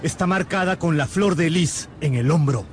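An actor playing a serious, resolute musketeer in a radio drama. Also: male voice, a little high, moderate pace, slight background noise, natural voice. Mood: resolute, urgent.

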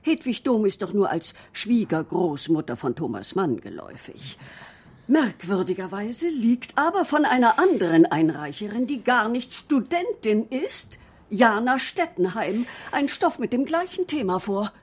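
An actress playing an intense, guarded female university lecturer in a radio drama, speaking insistently in a breathy voice that sinks toward whispers. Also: medium pitch, very animated. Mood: guarded, precise. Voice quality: breathy, often sinking to a whisper. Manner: halting, insistent, weighty. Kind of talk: German radio drama dialogue.

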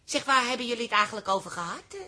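An actress playing an excitable, eager, meddling woman with a Dutch accent who exclaims a lot in a radio drama. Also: on the high side, rapid-fire. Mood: eager, teasing. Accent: Dutch, from the Netherlands. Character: excitable, meddling, supportive younger female companion, Toos.

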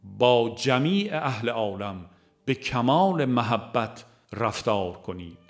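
A man reading a religious audiobook in a measured, formal, devotional way. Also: mid-range voice, big pitch swings. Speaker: audiobook narrator. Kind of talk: scripted audiobook narration of a religious text. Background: music.

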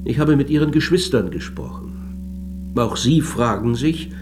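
A polite but persistent male researcher, played by an actor in a radio drama. He has a mid-pitched voice and questions in a measured, courteous way. Clear guitar music sits behind him. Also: animated, lightly acted. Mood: earnest, persuasive. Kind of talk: German radio drama acting.

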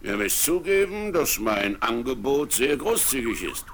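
An actor playing a cunning, smooth-talking pirate captain in a 1970s radio play, recorded on old vinyl. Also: male voice, medium pitch, big pitch swings, measured pace, a little hiss or hum. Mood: scheming. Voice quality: smooth, persuasive, turning threatening.

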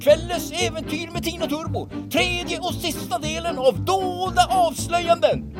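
Casting: female actor as serial announcer, high voice, declamatory and suspenseful over loud music. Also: steady tone. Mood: dramatic, suspenseful. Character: dramatic female announcer of a wartime adventure serial. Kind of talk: scripted radio serial narration.

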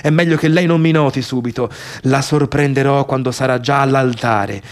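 A man's voice: medium pitch, normal speed, close to an everyday voice. An actor playing a neurotic, bitter, indecisive man in a theatrical radio monologue, muttering agitatedly to himself with a Tuscan Italian accent. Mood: stubborn, self-important. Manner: agitated muttering self-talk, constantly contradicting himself. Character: neurotic, wavering man, bitter misfit brother obsessed with his sister. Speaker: stage actor.

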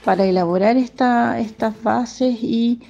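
A woman with a Chilean accent giving an interview statement as an official, halting and searching for her words. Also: on the high side, vocal fry, warm tone. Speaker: municipal official being interviewed. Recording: dull lo-fi interview clip. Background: faint music.

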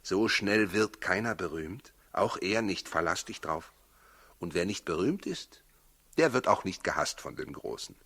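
An actor playing a practical, worried husband in a 1960s German radio play, plain-spoken and gruffly reassuring, with a husky voice. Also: male voice, low voice, animated, unhurried, fairly bright tone, exaggerated voice.

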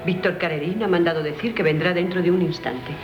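An echoey old radio recording with loud music holds an actress as a formal maid in a radio play. She delivers messages deferentially. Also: on the low side, brisk, highs cut off, natural voice. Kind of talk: 1970s Spanish radio drama acting.

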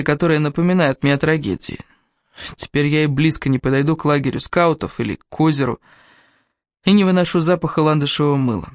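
A woman's voice: low-pitched, dry recording, clean studio sound. A woman reading a first-person novel aloud as scripted audiobook narration.

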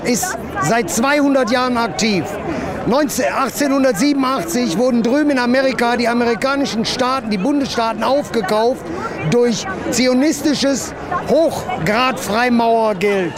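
Guy ranting on the street, really high voice, halting, crowd behind him. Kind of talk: impromptu ranting explanation.

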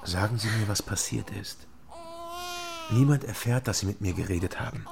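A man's voice: fairly low pitch, normal speed, creaky. An actor playing a terse young man, a guarded and insistent former detective, in an understated radio crime drama style. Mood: insistent, probing. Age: 20s. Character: terse, probing young East German ex-detective, reformed drinker. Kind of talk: naturalistic radio crime drama acting, some narration.

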